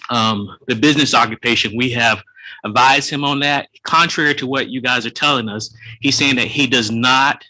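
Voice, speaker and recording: A man's voice: mid-range voice, moderate pace, pushed and bright, rough recording. A man serving as police chief, reporting to a city commission meeting in an earnest, conciliatory and formal way.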